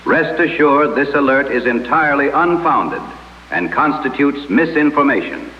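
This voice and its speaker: A man playing a composed, official emergency broadcast announcer, reading formally and in a measured way. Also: mid-range voice, lively intonation, lightly acted. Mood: formal, apologetic. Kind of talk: scripted old broadcast announcement. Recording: muffled, echoey old radio broadcast sound.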